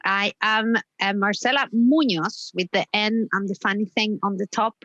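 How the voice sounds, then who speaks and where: A dry, close recording of a woman from Chile with a Chilean Spanish accent. She speaks at a medium pitch. Her manner is chatty and informal as she presents as a guest speaker on a video call. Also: fairly even intonation, moderate pace, fairly bright tone, dry recording, muffled recording. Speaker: technical manager, guest speaker. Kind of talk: informal webinar self-introduction.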